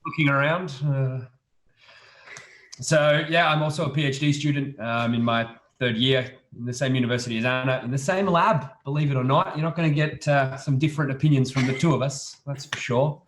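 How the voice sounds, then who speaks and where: A man's voice: mid-range voice, a little fast, fairly bright tone. A young man from Australia with an Australian accent, chatting casually as a guest researcher in a wry, joking way. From Australia, born in Serbia. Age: young adult. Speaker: guest, PhD student researcher. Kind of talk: casual chat. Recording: roomy, echoing voice pickup.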